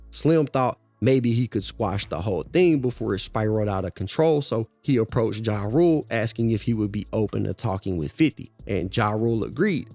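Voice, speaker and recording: A man narrating a documentary-style story in a scripted, measured way. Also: lowish voice, darkish tone, no room sound.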